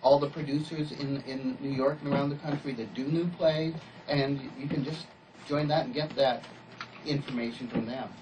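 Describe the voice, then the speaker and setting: A man's voice: medium pitch, steady tone, normal speed, distant mic. Man explaining things in a noisy interview. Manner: explanatory, illustrates with examples. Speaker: interview guest, playwright and producer. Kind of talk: casual radio interview.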